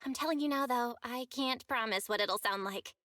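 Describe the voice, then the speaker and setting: A woman's voice: a little high, moderate pace, fairly bright tone, no echo, studio quality, close to an everyday voice. A woman playing a playful, teasing young woman in a video game.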